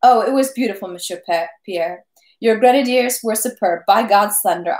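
A woman's voice: mid-range voice, reverberant, lightly acted. A woman voicing a merry, good-natured French army captain who brags ceaselessly about his battles. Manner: lively, talks ceaselessly, good-natured bragging. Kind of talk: audiobook character reading.

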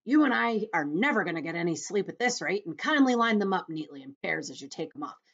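A woman voicing a boastful, rascally male centipede in a loud, self-satisfied manner for a storybook. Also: fairly low pitch, animated, fast talker, husky, dull audio. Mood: impatient, bossy.

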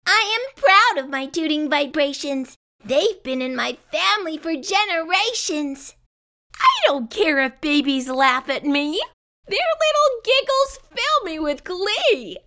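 A woman's voice: very high-pitched, sing-song, measured pace, sharp tone, voice pushed up, exaggerated voice. A woman voicing proud, opinionated cartoon fart townsfolk for a storybook, declaring things in an exaggerated way. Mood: proud, indignant.

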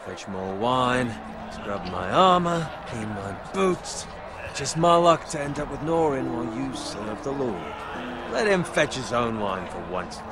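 A man's voice: medium pitch, expressive, measured pace, over a noisy crowd, natural voice. An actor playing a resentful, sneering young male squire in a medieval fantasy game, grumbling and sarcastic. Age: young adult. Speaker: video game character actor. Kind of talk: cinematic fantasy game dialogue. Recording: clearly noisy outdoor game ambience.

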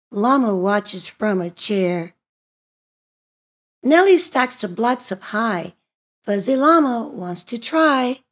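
A woman reading a children's picture book aloud in an expressive, deliberate, sing-song way for young listeners. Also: a little high, husky. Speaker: storybook reader and host of a read-aloud channel.

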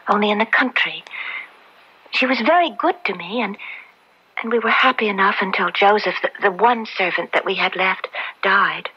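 An actress in an old radio drama playing a frightened young maid condemned as a witch, halting, tremulous and pleading, often dropping to a breathy whisper. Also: mid-range voice, bright, forceful tone. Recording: dull lo-fi old radio recording.

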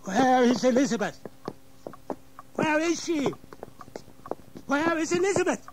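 An actor playing a proud, imperious English gentleman and father in an audio drama, halting when shaken. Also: very high-pitched, animated. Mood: frantic, angry. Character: proud English gentleman, outraged father disowning his daughter. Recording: noisy 1980s audio drama recording.

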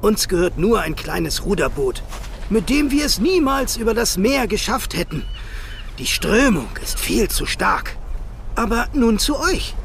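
A man's voice: high voice, big pitch swings, a little slow, vocal fry, a bit bright, slight background noise, exaggerated voice. A man playing a gaunt, proud and wary elderly fisherman in a children's audio drama, theatrical and sighing. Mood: stern warning. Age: older.

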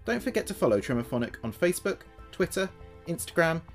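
A man's voice: on the high side, very animated, fast, faint music behind. A man presenting a podcast, reading a scripted announcement.

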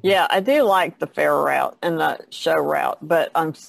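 A woman's voice: low voice, measured pace, creaky, no echo. A woman of seventy chatting casually as a guest on an art podcast. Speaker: podcast panelist, artist. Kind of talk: casual chat.

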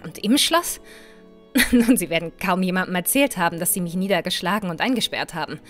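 A woman's voice: fairly low pitch, expressive, brisk, fairly bright tone, soft background music. A woman playing a headstrong, impatient riverboat adventurer in a fantasy audio drama, defiant and emphatic. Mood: determined. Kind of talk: fan-made fantasy audio drama acting.

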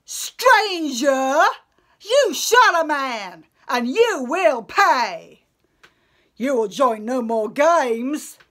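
A woman's voice: high-pitched, expressive, drawn-out words, fairly bright tone, pitched above the performer's own voice, heavily acted. An indignant young suitor from an epic storybook, acted out by a woman. He declaims his threats angrily, in a raised voice. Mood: outraged, threatening. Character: angry young male suitor shouting threats.

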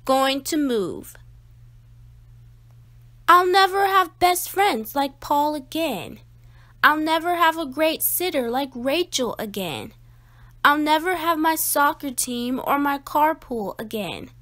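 A woman with a fairly high voice reads a children's picture book aloud. She takes it very slowly and phrases things with deliberate emphasis, in an expressive storytelling style.

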